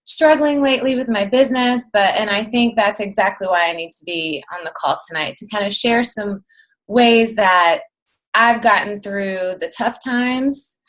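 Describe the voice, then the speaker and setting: A woman in her late thirties, losing her voice, speaking as a chatty, excitable guest on a team video call. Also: mid-range voice, normal speed. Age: 30s. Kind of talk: casual talk on a video call.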